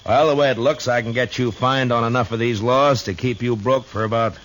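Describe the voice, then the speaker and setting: An actor playing a wry, authoritative frontier marshal in a 1950s radio western, speaking in a dry, unhurried, firm way. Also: male voice, medium pitch, steady tone, fairly bright tone, highs cut off, natural voice. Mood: dry, pressing. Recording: noisy 1950s radio recording.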